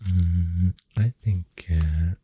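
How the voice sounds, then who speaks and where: A soft-spoken ASMR host with a Swedish accent, half-whispering in a breathy voice and hesitantly rambling up close to the microphone. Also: deep voice, fairly even intonation, lo-fi sound. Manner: hushed, hesitant, pausing mid-thought. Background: pencil writing sounds.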